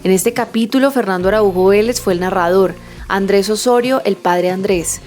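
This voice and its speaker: A woman's voice: medium pitch, normal speed, a little mellow, faint music behind. A woman reading scripted podcast credits as an announcer.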